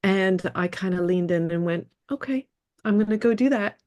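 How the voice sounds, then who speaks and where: A woman's voice: medium pitch, normal speed, darkish tone. A woman with an upstate New York accent, a wellness coach speaking as an interview guest in an earnest manner. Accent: American, upstate New York. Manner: earnest, laughs easily. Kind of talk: conversational interview.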